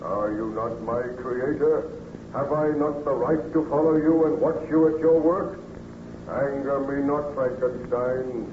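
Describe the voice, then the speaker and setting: A man's voice: mid-range voice, narrow, tinny audio, lightly acted. An actor playing a brooding, menacing man-made monster in an old radio horror play, speaking in formal, ominous phrases. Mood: brooding menace. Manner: slow, ominous, formal archaic speech. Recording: distant, echoey, noisy, phone-thin old radio.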